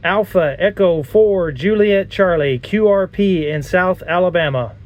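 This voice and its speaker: A man's voice: sits high, measured pace, highs cut off. A man doing a casual hands-on amateur radio demonstration and making radio calls, recorded in the field. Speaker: amateur radio operator and video maker. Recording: noisy field recording, vehicle-like ambient noise.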